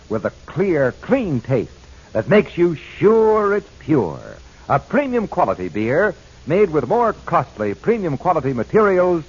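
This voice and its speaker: Husky, animated 1940s radio announcer man. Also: on the high side. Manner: polished, emphatic announcer delivery. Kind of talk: scripted 1940s radio ad read and narration. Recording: dull 1940s radio broadcast recording.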